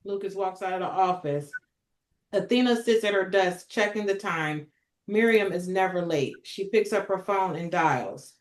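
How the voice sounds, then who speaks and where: A woman's voice: fairly low pitch, moderate pace. A woman reading stage directions as narrator at a script table read, in a roomy recording. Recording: roomy, echoing sound.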